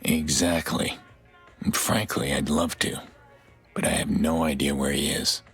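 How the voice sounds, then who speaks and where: An actor playing a gruff middle-aged vigilante hacker in a video game, terse and deadpan, with a gravelly voice. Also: male voice, unhurried, soft background music, exaggerated voice. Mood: weary, serious. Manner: terse, low-key, deadpan. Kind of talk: video game dramatic acting.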